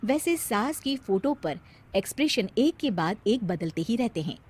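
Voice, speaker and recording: A woman with an Indian accent narrating a cartoon tale as expressive scripted storytelling. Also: a little high, normal speed, slightly rough.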